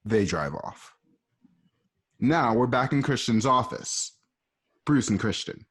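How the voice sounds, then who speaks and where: A man's voice: mid-range voice, expressive, slow, fairly bright tone, slightly rough. A man reading the stage directions of a home-recorded radio play in a plain, matter-of-fact way. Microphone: home microphone.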